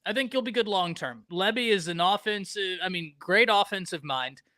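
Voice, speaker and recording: A man from Texas hosting a casual live-stream sports talk show, animated and talking to chat viewers by name. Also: sits high, moderate pace, dry recording, clean studio sound.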